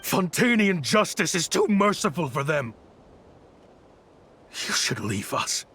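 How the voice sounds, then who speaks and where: A man's voice: a little high, very animated, takes it slowly, a bit bright, faint music behind, exaggerated voice. A man voicing a bitter, remorseful weapons researcher in a video game, grim and intense. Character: bitter, remorseful adult male weapons researcher seeking revenge.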